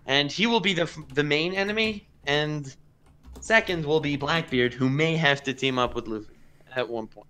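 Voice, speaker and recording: A man hosting a casual fan discussion on an online voice chat, talking in an animated, insistent way. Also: a little high, normal speed. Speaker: stream host and anime video creator.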